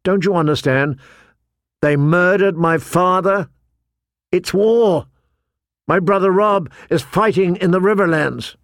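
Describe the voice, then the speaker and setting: A man's voice: high-pitched, slow, pauses often, dry recording, studio quality, pitched above the performer's own voice. A man voicing an anguished, defiant young runaway swordsman in an audiobook, fervent and demanding.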